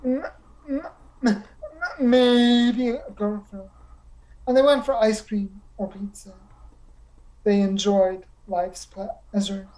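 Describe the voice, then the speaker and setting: Mellow, slow woman reading a story. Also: mid-range voice, steady tone, rough recording.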